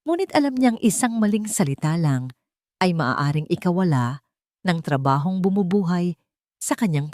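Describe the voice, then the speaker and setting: Filipino lady reading a dramatic audio story, lowish voice, really dry close sound. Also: mellow, no echo.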